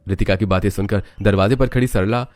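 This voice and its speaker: A man's voice: fairly low pitch, steady tone, fast, a little mellow, no room sound. A man reading scripted, dramatic narration for a family story.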